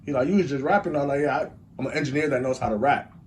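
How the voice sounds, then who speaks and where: A young man with a Chicago accent, a rapper and engineer, telling stories in a rambling, animated way as an interview guest. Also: mid-range voice, racing, a little hiss or hum. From Chicago, USA. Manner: rambling, animated storytelling with lots of "like". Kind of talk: casual storytelling interview.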